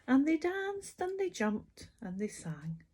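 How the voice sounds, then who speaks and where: A woman reading a children's picture book aloud as a bedtime storyteller, expressive and sing-song, putting questions to young listeners. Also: high-pitched, takes it slowly, slight background noise.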